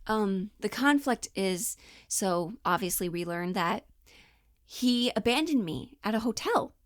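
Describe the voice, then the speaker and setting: Brief: female acting coach, twenties, informal and animated, mid-pitched voice, dry recording. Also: unhurried, dry recording. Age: 20s. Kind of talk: casual instructional talk.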